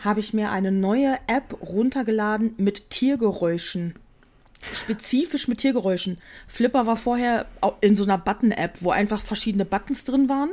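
A woman's voice: mid-range voice, normal speed, darkish tone. A woman co-hosting a casual podcast, explaining things at length with many fillers. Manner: rambling, filler-heavy explanations. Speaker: podcast co-host. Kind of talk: casual chat.

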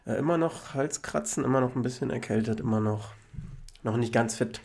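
Hoarse man with a gravelly voice. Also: medium pitch, a little fast. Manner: relaxed, hesitant, lots of fillers. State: hoarse from a cold. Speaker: podcast co-host. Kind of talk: casual chat.

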